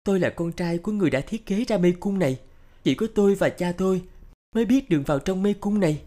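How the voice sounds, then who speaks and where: A man's voice: sits high, animated, quick pace, mellow. A man playing an eager, naive young son in a cartoon. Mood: helpful. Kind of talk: cartoon dub acting.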